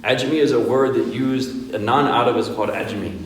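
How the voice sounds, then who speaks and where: A man's voice: mid-range voice, fairly even intonation, moderate pace. A man, an imam, giving an informal Quran workshop lecture, conversational and full of rhetorical questions, with Arabic pronunciation shown along the way. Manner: conversational, asks rhetorical questions like "right?" and "okay?". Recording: echoey hall, speaker far from the mic.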